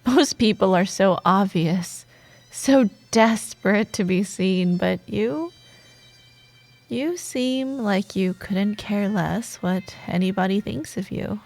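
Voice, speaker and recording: A woman playing a sardonic, secretly soft female office rival in a romantic audio roleplay, teasing and expressive, with dramatic pauses. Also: medium pitch, creaky. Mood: reluctantly admiring.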